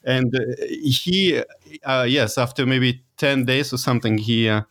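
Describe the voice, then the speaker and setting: A man from Lithuania speaks in English, his second language, with a Lithuanian accent and a mid-pitched voice. He talks hesitantly, filling gaps with "uh" and rephrasing as he goes. It sounds like a relaxed interview conversation. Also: unhurried. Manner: hesitant, many fillers and restarts, nonnative phrasing. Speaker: guest, virtual summit organizer. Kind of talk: casual interview talk.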